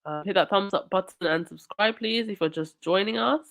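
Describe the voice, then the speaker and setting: A woman's voice: mid-range voice, moderate pace, vocal fry, a bit bright. A woman talks on her live stream while fighting a cold, her nose stuffy. She reads comments and thanks supporters, then turns indignant. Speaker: livestream host.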